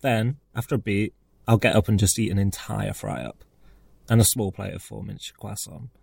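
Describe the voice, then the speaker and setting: Gravelly, husky man reading an essay. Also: fairly low pitch, fairly even intonation, normal speed, a little mellow. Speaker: essayist reading own piece. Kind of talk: scripted humorous essay reading.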